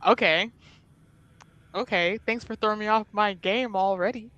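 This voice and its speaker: A woman playing a tabletop roleplaying game, narrating her character in an expressive, informal way. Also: medium pitch, moderate pace, no echo, dull audio.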